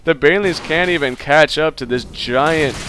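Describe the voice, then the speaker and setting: A man's voice: on the high side, normal speed, noisy background. A man with a stuffed-up nose giving casual solo play-by-play commentary on a strategy game, with plenty of fillers. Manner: casual play-by-play, many fillers, rising excitement in fights. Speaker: esports caster.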